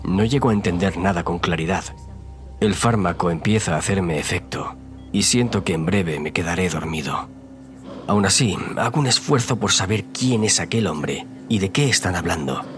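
A man's voice: sits low, animated, moderate pace, fairly bright tone, faint music behind. A man playing an injured, frightened narrator telling his own horror story in audio fiction. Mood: pained, tense. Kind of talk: scripted first-person horror narration.

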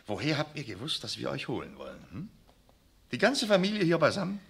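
An actor playing a coarse, bullying SS sergeant who barks orders and sneers in a 1960s radio drama. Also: male voice, medium pitch, wildly swooping pitch, a little fast, gravelly, fairly bright tone, breathy edge, full character voice. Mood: mocking. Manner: barking orders, sneering laughs. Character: brutal mocking male SS sergeant, coarse bully. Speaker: arresting officer.

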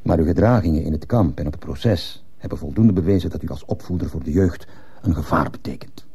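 A stern, dutiful headmaster in a radio play, with a very low, dark voice. He speaks formally and officiously, with a husky edge to his cold reproofs. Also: a little fast, full character voice. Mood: coldly reproving. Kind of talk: Dutch-language radio drama acting.

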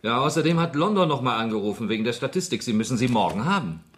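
Animated, impatient policeman, roomy echo. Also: medium pitch, fast talker. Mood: matter-of-fact. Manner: impatient, matter-of-fact, colloquial. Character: exasperated, dutiful male desk policeman. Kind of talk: German radio crime drama acting.

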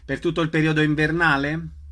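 A man with a fairly high, bright voice. He hosts a radio interview, putting short questions to his guest. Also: flat delivery, fairly quick.

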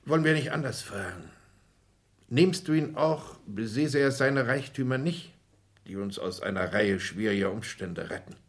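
A man's voice: medium pitch, lively intonation, normal speed, lightly acted. An actor playing a genteel, proud count and father in a 1960s German radio drama, speaking in a formal, polished way. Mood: earnest, fatherly.

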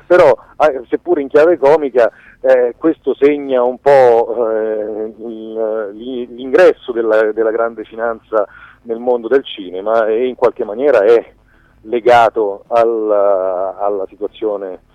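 A man's voice: medium pitch, steady tone. Guy in a radio interview, keeps restarting his phrases, kinda dull sound, no room echo. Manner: halting, searching for words, repeats phrase starts. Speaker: documentary filmmaker being interviewed.

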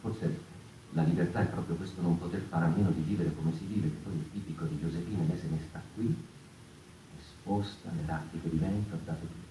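A man performing a halting, reflective theatrical monologue as a brooding voice of the mouse people. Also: low-pitched, steady tone, dark tone, close to an everyday voice. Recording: echoey, distant, faintly noisy room recording.

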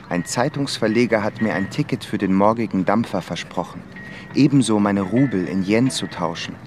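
Low-voiced, reflective diarist. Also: male voice, noisy background. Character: traveling composer reading his diary and letters, reflective. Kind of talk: scripted diary reading in a radio drama.

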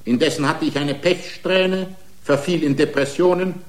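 An actor playing an aggrieved, excitable racing driver in a radio drama, pleading his case in indignant, long-winded, formal rhetoric. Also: fairly high pitch, moderate pace, natural voice. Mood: self-pitying. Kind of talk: radio drama acting, courtroom speech.

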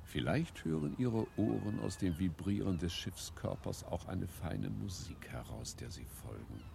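An actor playing a seductive, commanding ship's captain in a German radio drama, speaking in a theatrical, teasing way. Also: male voice, deep voice, expressive, measured pace, gravelly, a little hiss or hum, exaggerated voice. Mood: musing, teasing. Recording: loud music over the voice.

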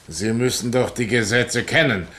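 An actor playing a polite, dutiful police sergeant in a radio comedy, formal and pedantic. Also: male voice, mid-range voice, normal speed, fairly bright tone, natural voice. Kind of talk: German radio comedy acting.

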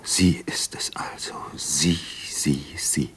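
A man playing an introspective young doctor in a radio drama, with a husky, breathy voice. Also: a bass voice, fairly even intonation, slow pace, heavily acted. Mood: brooding, haunted. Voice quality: husky, breathy, at times near whisper. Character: young male doctor, brooding, introspective, haunted. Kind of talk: German radio drama acting, inner monologue.

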